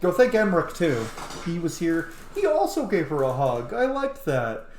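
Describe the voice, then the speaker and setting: A man plays a roleplaying game and comments effusively, swearing casually. His voice swings widely in pitch, with roomy echo and music behind him. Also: very animated, moderate pace, a little mellow. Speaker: gamer, roleplay streamer. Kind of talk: let's play commentary, reading game text aloud.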